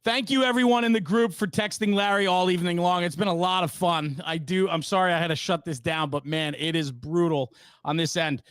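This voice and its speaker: Male podcast host, high voice for a man, close dry sound, teasing and direct, informal live banter. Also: fairly even intonation, fairly quick, a bit bright, clear, resonant tone, no room sound. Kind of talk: casual live show banter.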